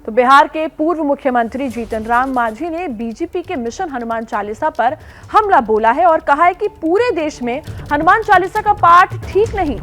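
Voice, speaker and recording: Woman doing scripted news voiceover, really high pitch. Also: expressive, moderate pace, fairly bright tone. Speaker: news presenter.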